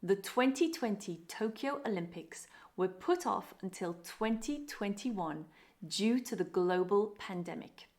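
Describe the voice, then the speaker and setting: A woman's voice: medium pitch, animated, unhurried, darkish tone. Upbeat British woman teaching English. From Britain. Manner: clear, upbeat teaching delivery. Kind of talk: scripted online English lesson.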